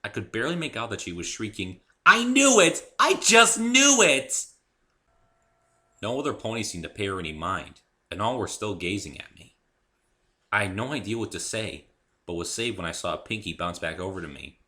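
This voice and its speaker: A man narrates a first-person story in a dramatic reading. His voice is fairly low and very bright, with animated swings in pitch. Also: brisk. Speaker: amateur reader and author of the story.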